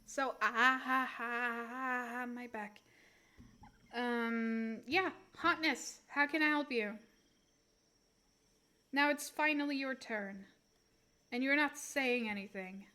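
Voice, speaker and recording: A woman's voice: a little high, slow pace, pauses often, sharp tone. A young woman reading tarot on a live stream, rambling and giggling as she chats straight to her viewers; English is not her first language.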